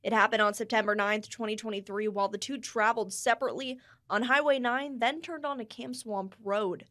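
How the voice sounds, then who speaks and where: A woman with a somewhat high voice narrates a television news package. She reads scripted copy in the style of a field reporter. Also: steady tone, a little fast, a bit bright.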